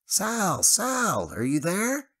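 A man voicing an excitable young male friend in a high, strained voice. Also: drawn-out words, heavily acted. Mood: relieved, excited. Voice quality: high strained voice, much raised.

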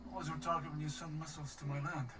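An actor playing a defiant captured foreign commander who talks in a haughty, indignant way. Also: male voice, on the high side, little pitch movement, rapid-fire, pushed and bright. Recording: game audio with music under it.

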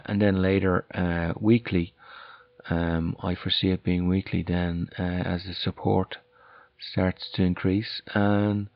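A middle-aged Irish man with a softened accent hosting a casual solo podcast, talking in a hesitant, rambling, self-correcting way. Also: on the low side, vocal fry, no room sound. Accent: Irish, softened by years abroad. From Ireland. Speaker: podcast host and author. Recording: dull, lo-fi, close dry sound.

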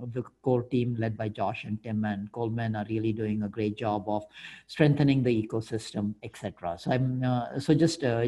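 Casting: middle-aged man, mellow tone, informal and hesitant, speaking on a video call. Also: mid-range voice, rough recording. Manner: hesitant, often ends phrases with "right?". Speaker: guest speaker, open source project founder. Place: indoor room, video call. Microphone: wired earphones on a video call.

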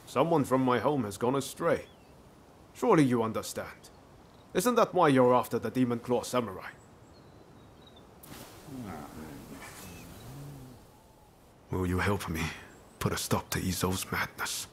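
An actor playing an earnest, loyal young samurai in a video game cutscene, speaking reflectively and with resolve. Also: male voice, mid-range voice, lively intonation, moderate pace, slight background noise, close to an everyday voice. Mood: urgent, resolute.

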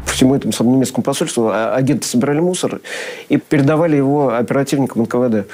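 A man's voice: medium pitch, very fast, heavy background noise. A man speaking as an interviewee in a documentary, explaining loosely with fillers.

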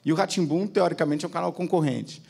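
A man's voice: fairly high pitch, fast talker, vocal fry, darkish tone. A man in his forties with a Brazilian Portuguese accent, a TV channel executive speaking to an audience on an auditorium stage with a handheld mic, chatty and peppering his talk with tag questions.

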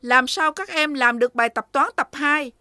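A woman's voice: sits high, moderate pace, sharp tone. A woman voicing a stern cow schoolteacher in a children's cartoon, scolding and instructive. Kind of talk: animated children's cartoon acting. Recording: faint music behind the voice.

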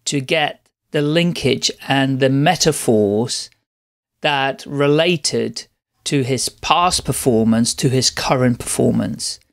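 A man hosting a podcast, welcoming his guest and asking questions in an upbeat, encouraging way. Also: medium pitch. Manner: upbeat, encouraging, quick acknowledgements. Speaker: podcast host. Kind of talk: podcast interview.

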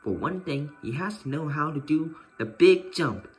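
A man's voice: on the high side, expressive, normal speed, husky, soft background music. A man voicing a grand, amused, fair-minded king in a children's storybook. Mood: amused, boastful.

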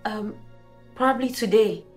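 A woman's voice: a little high, a little slow, faint music behind, close to an everyday voice. A woman acting in a film drama as a distressed, serious young woman, earnest and troubled. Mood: anxious, serious.

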